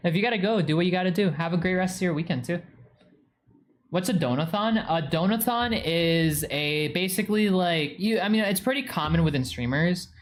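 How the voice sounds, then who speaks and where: A man's voice: high-pitched, fairly quick, a little hiss or hum. A young man with a New England accent, chatting casually with viewers on a live stream and rambling with lots of fillers. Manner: rambling, heavy use of "like". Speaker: streamer reading chat.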